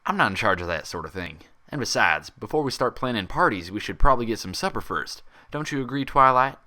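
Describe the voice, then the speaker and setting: A man's voice: medium pitch, lively intonation, a little fast, pushed and bright. A man voicing a kindly female pony doctor with a folksy, soothing, patient manner in a story reading. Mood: gently deflecting.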